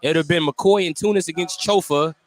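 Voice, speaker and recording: High-pitched male basketball play-by-play announcer. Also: fairly quick. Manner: clipped running descriptions of the action. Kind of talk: live basketball play-by-play commentary. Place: at a basketball game in a gym.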